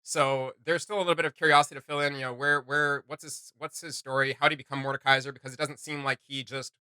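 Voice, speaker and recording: A man's voice: mid-range voice, flat delivery, quick pace, pushed and bright, smooth, no echo. A man hosting a reaction video, enthusiastic and slangy, thinking aloud in casual commentary.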